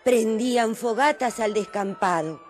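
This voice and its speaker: A woman's voice: fairly high pitch, measured pace, darkish tone, close to an everyday voice. An actress playing a mother who recalls her son's birth, narrating in a radio drama. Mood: tender reminiscing. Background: violin music.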